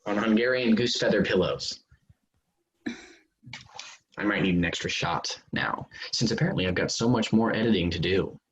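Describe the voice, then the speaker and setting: A man's voice: lowish voice, normal speed, long pauses, lightly acted. A man playing a smug, defensive amateur novelist in a staged play reading. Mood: smug, prodding. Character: smug, self-justifying male amateur novelist, defensive about his sleazy book. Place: remote virtual staged reading. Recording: dull, noisy online call audio.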